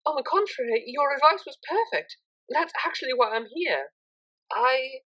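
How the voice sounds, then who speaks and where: A woman voicing a refined young female pony student, polite, earnest and excitable, in an audiobook story. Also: high-pitched, moderate pace, voice pushed up, exaggerated voice, low-quality recording. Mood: happy, grateful.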